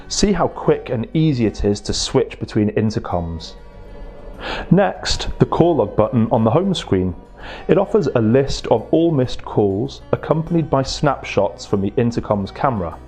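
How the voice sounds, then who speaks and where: A man giving a scripted promotional presentation in a measured, articulate way, as a product presenter. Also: medium pitch, warm tone. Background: music.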